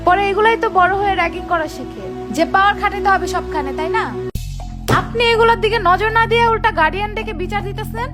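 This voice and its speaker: A woman's voice: very high-pitched, fast talker. A woman voicing a feisty, scolding young cartoon mother with a Bangladeshi accent and a bossy, argumentative way of talking. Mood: indignant. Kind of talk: comic cartoon dialogue. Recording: music behind the voice.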